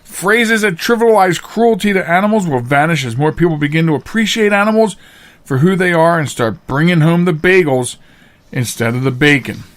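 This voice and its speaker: A middle-aged man hosting a podcast, giving casual opinionated commentary in a halting, emphatic way. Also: a little high, lively intonation, a bit bright. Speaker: podcast host.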